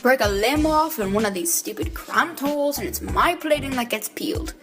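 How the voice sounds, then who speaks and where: Woman playing a high-voiced, blunt robot bodyguard. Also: lively intonation, moderate pace. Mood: impatient. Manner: blunt, bossy. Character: gruff, impatient, protective female robot bodyguard. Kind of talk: amateur comic dub dialogue. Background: clear music bed.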